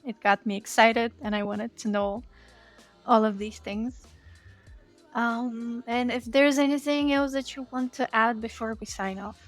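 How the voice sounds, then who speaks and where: A woman's voice: fairly high pitch, fairly even intonation, unhurried, soft background music. A woman speaking as a podcast host to her guests and listeners. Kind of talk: podcast hosting.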